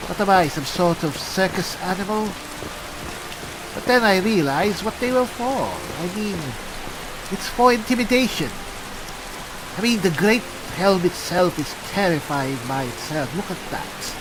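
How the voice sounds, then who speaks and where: A man playing a chatty, pompous adventuring cleric in an improvised fantasy game roleplay, halting and musing theatrically with dry humour. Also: sits high, lively intonation, noisy background. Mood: musing, amused. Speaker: gamer playing a character.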